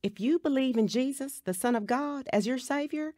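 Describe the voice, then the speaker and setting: A woman's voice: high voice, moderate pace, warm tone, dry recording, clean studio sound, close to an everyday voice. A woman playing a kind, reassuring Sunday school teacher in a radio drama, patient and instructive. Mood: gentle, assured.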